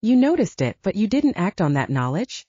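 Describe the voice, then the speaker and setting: A woman's voice: medium pitch, sing-song, normal speed, dark tone, husky, dry recording, dull audio. A woman voicing a candid, playful young woman, the heroine of an audiobook romance. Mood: teasing.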